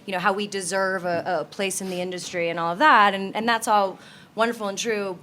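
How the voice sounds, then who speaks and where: A woman's voice: lowish voice, a bit bright. A woman co-hosting a roundtable, talking in an earnest, conversational way. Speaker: panel co-host. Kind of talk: casual roundtable talk. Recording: faint background noise, live room ambience.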